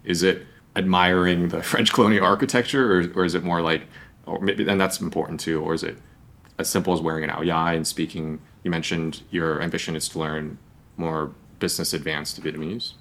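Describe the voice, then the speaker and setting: A man's voice: sits low, fairly even intonation, a little fast, fairly bright tone. A young man hosting a podcast, asking long, rambling, conversational questions. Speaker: podcast host. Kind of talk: podcast interview.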